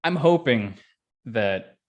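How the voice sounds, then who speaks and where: Lively, joking man with swooping intonation. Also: mid-range voice, slow. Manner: self-deprecating, joking. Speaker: podcast co-host. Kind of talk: casual banter.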